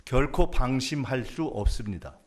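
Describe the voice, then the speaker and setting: A man's voice: medium pitch, lively intonation, moderate pace, darkish tone. A man delivering a formal, prepared political statement in a news clip. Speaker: party politician.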